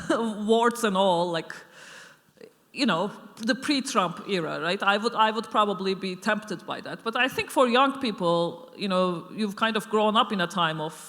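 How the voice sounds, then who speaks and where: A woman's voice: medium pitch, lively intonation, measured pace, fairly bright tone. A middle-aged woman lecturer answering audience questions off the cuff, thoughtful, with many fillers and restarts. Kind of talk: unscripted Q&A answer. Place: lecture hall. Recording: echoey lecture hall.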